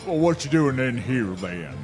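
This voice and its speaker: An actor playing a mocking, menacing cowboy bully with a taunting drawl in a western radio drama. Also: male voice, mid-range voice, sing-song, normal speed, natural voice. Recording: music behind the voice.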